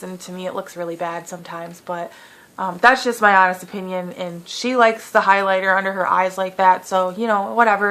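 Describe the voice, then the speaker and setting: A woman in her late twenties chatting through a casual beauty Q&A vlog, full of fillers, with a lingering cough. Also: medium pitch. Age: 20s. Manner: chatty, lots of fillers, animated quoting. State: lingering cough, clearing throat. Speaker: beauty vlogger.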